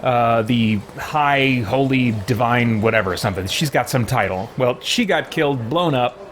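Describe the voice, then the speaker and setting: A man's voice: medium pitch, moderate pace, a bit bright, a little hiss or hum. A man giving an informal, rambling explanation to a live stream chat, digressing as he goes. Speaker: game livestreamer.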